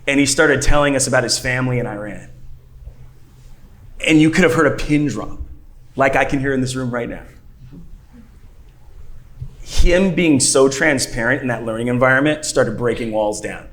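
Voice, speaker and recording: Man, panelist, mid pitch, animated storytelling, shifting pace, conference room. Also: moderate pace, pauses often.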